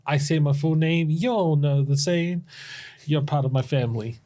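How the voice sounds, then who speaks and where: A man's voice: a little high, moderate pace, mellow. A man hosting a community podcast in an upbeat, enthusiastic, playful way. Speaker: podcast host.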